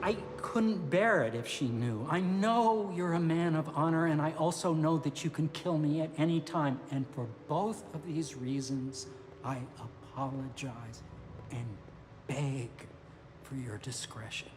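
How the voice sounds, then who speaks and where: Sheepish young inventor for a game, male actor, very hesitant, slow delivery, reverberant. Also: on the high side, noisy background, natural voice. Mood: sheepish, apologetic. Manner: halting, hesitant. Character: awkward, sheepish, nervous young inventor man. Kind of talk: video game voice acting.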